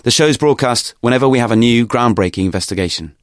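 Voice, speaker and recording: A man's voice: medium pitch, fast talker, no echo, studio quality. A man reads a scripted podcast promo as an announcer.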